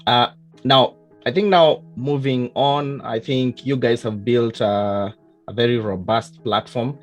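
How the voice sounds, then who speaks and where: Halting male interview host. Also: mid-range voice, fairly even intonation, faint music behind. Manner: hesitant, many "uh"s and repeated starts. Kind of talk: casual interview over a call.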